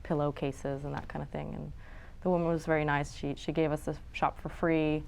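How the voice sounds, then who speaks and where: A young woman from New York and Florence, answering interview questions about her film in a relaxed, chatty way with fillers and small laughs. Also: low-pitched, fairly quick, vocal fry, a little hiss or hum, rough recording. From New York City and Florence. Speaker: filmmaker guest. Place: studio.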